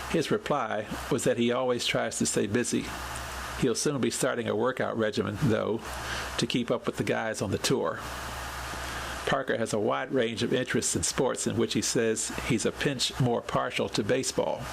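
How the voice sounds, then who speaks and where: A man reading a scripted account as a podcast host, in a measured storytelling delivery. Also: mid-range voice, creaky, noisy background.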